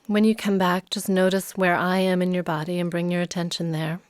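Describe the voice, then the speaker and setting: A woman leading a guided meditation as a yoga nidra teacher, soothing and unhurried, leaving long pauses. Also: lowish voice, flat delivery, no room sound, studio quality.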